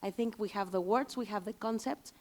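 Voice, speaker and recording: A woman's voice: mid-range voice, fairly quick, darkish tone. A woman panelist giving earnest, fluent closing remarks with a sense of urgency.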